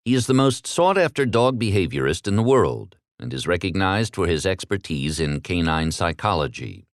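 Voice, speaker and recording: Deep-voiced American man, very expressive intonation. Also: normal speed, no echo, studio quality. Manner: polished, formal announcer delivery. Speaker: interviewer, audiobook narrator. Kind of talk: scripted introduction and interview questions.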